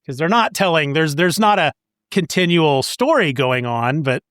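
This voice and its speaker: Male podcast host, higher-pitched, casual or reading promos, recorded dry. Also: expressive, normal speed, fairly bright tone, no echo. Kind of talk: casual chat and scripted show promos.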